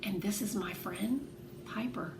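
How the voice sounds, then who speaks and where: A woman voicing a fierce, protective girl who can be forceful or tender, in a children's book read aloud. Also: medium pitch, unhurried. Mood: hushed, tender. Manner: forceful when challenged, tender and hushed with friend. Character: fierce, excitable girl, bossy and protective friend. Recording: echoey room with clear background noise.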